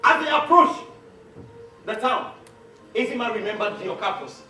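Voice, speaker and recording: A man's voice: high voice, expressive, moderate pace, bright, forceful tone. A man with a Nigerian accent narrating a one-man play as theatrical, scripted storytelling. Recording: echoey hall, distant mic, music behind.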